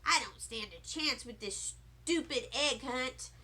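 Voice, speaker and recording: A woman plays a cheeky first-grade girl in a children's storybook. The voice is high, very lively and bright. She talks in childish grammar with dramatic emphasis. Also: measured pace, exaggerated voice. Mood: grumbling. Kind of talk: children's audiobook read-aloud.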